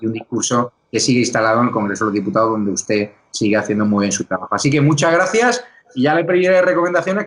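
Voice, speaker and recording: A man from Spain hosting a political talk show, emphatic and quick to run through lists. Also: medium pitch, a bit bright, rough recording. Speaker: show host.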